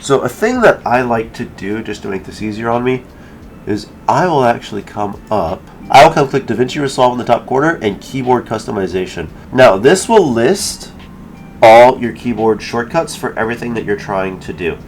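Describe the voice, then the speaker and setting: A man hosting a casual software tutorial, explaining each step in an informal way. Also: medium pitch, lively intonation, normal speed, slight background noise. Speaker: tutorial host. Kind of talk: casual screen-recorded software tutorial narration.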